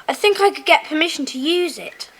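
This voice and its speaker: Schoolchild, very high voice, earnest, helpful, children's audio play. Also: female voice, animated, a little fast, over a noisy crowd. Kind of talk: children's audio drama acting.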